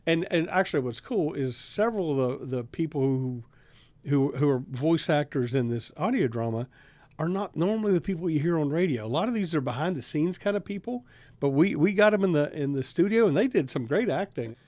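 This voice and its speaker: A man with a fairly high voice chats in an unscripted radio conversation. The sound is dry and close, as in a studio. He talks conversationally, introducing a radio drama. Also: animated, a little fast, darkish tone, dry recording. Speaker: radio drama writer and public broadcaster.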